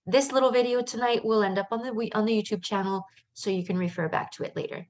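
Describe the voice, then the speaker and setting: A woman's voice: medium pitch, steady tone, quick pace, darkish tone. A middle-aged woman who was born in Canada and raised in France, a life coach giving a casual live webinar in a chatty, upbeat way with lots of fillers and asides.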